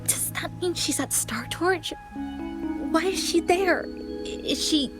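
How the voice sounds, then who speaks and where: An actress playing a guarded, vulnerable young daughter in a game. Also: female voice, very high-pitched, slow. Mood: anxious, shaken. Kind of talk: game dialogue acting. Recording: loud music behind the voice.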